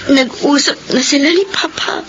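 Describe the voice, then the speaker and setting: High-pitched, tearful young woman, played by a woman, lo-fi radio drama over music. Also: normal speed, close to an everyday voice. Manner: soft, tearful. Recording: dull lo-fi radio recording.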